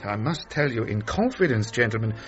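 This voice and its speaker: Guy playing smooth official, very polite n courtly, lively singsong pitch, muffled radio play. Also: a little fast, lightly acted. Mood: genial, confiding. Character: suave, apologetic German male official, oily host. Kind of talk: radio drama acting.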